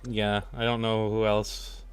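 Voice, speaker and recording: A man chatting casually on a live work stream, animated and self-deprecating, talking to himself as he works. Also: on the low side, measured pace. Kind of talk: casual work stream chat while video editing.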